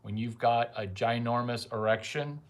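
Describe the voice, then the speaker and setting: A man's voice: mid-range voice, moderate pace, slight background noise. A man giving a rambling, crude casual monologue full of profane asides.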